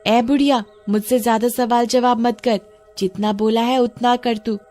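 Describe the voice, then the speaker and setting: A woman voicing a possessed little girl who rudely shouts and demands sweets in a cartoon story. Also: a little high, steady tone, moderate pace, faint music behind, voice pushed up, natural voice. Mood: demanding. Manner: shouting, bossy.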